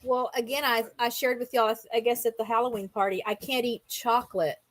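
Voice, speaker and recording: Woman, interviewer, casual chat, somewhat high pitch, informal delivery, dry recording. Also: fairly even intonation, brisk, a bit bright, no room sound. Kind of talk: casual question-and-answer chat.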